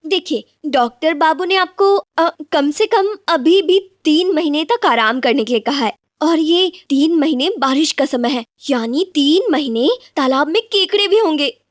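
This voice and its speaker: A woman voicing a resourceful young village wife in an animated story, coaxing and gently persuasive. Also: high-pitched, measured pace, hardly any pauses, no room sound, studio quality. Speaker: wife character. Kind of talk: animated cartoon dubbing.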